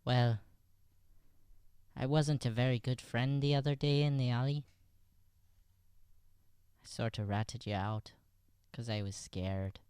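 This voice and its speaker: A man's voice: mid-range voice, steady tone, slow pace, long pauses, darkish tone, clear, resonant tone, no room sound, studio quality, natural voice. An actor playing an earnest, cautious Irish boy who stammers and repeats himself in an improvised radio comedy.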